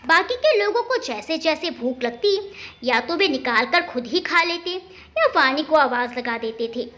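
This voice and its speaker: A woman narrates a family story from a script. Her voice is high and bright, and she reads expressively with very lively intonation.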